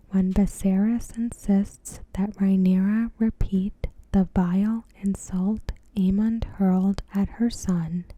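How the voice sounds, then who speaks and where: A woman ASMR host reads a review in a soft, breathy, unvoiced whisper with a deliberate delivery. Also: mid-range voice, low-quality recording.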